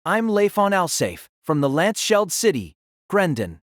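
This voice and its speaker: A woman's voice: fairly low pitch, very animated, moderate pace, dry recording, studio quality. A woman playing a reserved, polite teenage boy student in an anime-style audio drama, speaking hesitantly. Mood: polite, guarded.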